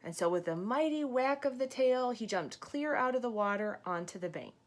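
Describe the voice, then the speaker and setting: A woman teacher reading a picture book aloud expressively, pausing to ask young listeners questions and explain things. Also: fairly high pitch, animated.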